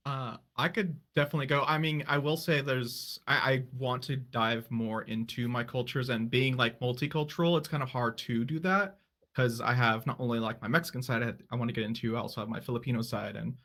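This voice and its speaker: A man's voice: medium pitch, steady tone, a little fast, fairly bright tone. A man speaking as a guest on a casual panel. He talks in a loose, rambling way, often backing up and restarting his sentences in a mid-range voice. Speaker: panelist, drag king streamer.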